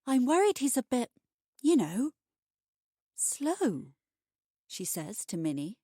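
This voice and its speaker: A woman narrating a scripted bedtime short story for adults, expressive and wry. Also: a little high, slow pace, breathy edge, no room sound, studio quality.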